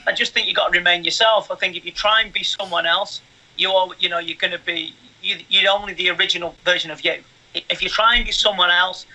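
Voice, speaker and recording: High-voiced, sharp-toned earnest man. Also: low-quality recording. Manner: earnest, restarts sentences, hedging fillers. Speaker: guest, mind coach and commentator. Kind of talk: casual interview answers.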